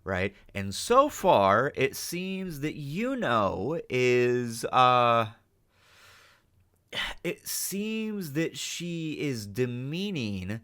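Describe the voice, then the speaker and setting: A man's voice: mid-range voice, sing-song, very slow, a bit bright. A man hosting a reaction video, giving off-the-cuff analytical commentary with emphatic check-ins.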